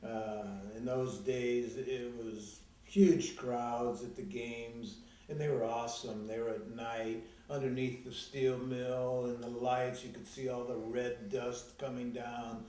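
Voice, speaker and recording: An older man whose first language is Greek, recalling his youth in a reflective, halting way with long pauses. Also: medium pitch, little pitch movement, mellow, very echoey, far from the mic, rough recording. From Gary, Indiana, USA. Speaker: oral history interviewee. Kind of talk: reminiscing conversation.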